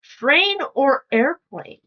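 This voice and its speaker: A woman's voice: high-pitched, expressive, very slow, muffled recording. A female speaker reading out quiz questions in a casual podcast style.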